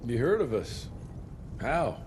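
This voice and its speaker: A gruff, dry-witted hunter of monsters in the Old West for a video game. He speaks tersely and sardonically at a middling pitch, over loud outdoor noise. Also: very animated, slow pace. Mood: weary, bitter. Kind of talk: video game cutscene acting.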